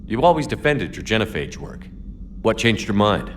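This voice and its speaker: An actor playing a human military commander in a video game, terse and probing with calm authority. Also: male voice, fairly low pitch, moderate pace, slight background noise. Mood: probing, serious. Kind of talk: video game character dialogue.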